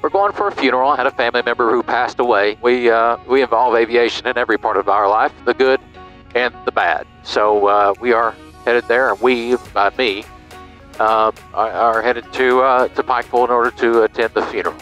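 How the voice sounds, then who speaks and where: Male pilot vlogger, American, middle-aged, casual, average pitch, recorded on headset in a small plane. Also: a little fast, fairly bright tone, faint music behind, poor audio. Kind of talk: casual cockpit vlog and radio calls. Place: small twin-engine airplane cockpit. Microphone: aviation headset boom mic.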